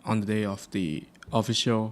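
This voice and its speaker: A young man from Thailand, chatting casually on a podcast in a relaxed, hesitant way with lots of fillers. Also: lowish voice, moderate pace. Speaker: guest musician.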